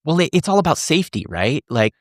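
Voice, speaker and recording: A man's voice: fairly high pitch, very animated, fast talker, no echo, studio quality. A man in his forties, a coach speaking as a podcast guest, earnest and thinking aloud with frequent restarts. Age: 40s. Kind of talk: casual podcast conversation.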